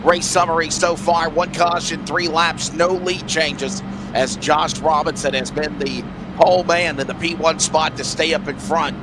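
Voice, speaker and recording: A man doing play-by-play for an online race broadcast, his voice hoarse and raspy, rattling off the running order. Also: on the high side, fairly even intonation. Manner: rapid rundown of race order. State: hoarse from allergies. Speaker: play-by-play commentator. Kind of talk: sim racing broadcast commentary. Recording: noisy track sound behind.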